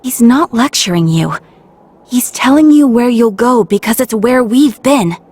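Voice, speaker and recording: Earnest, breathy young game heroine. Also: female voice, on the high side, lively intonation, a little slow, darkish tone, no room sound. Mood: earnest, firm. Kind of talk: video game voice acting.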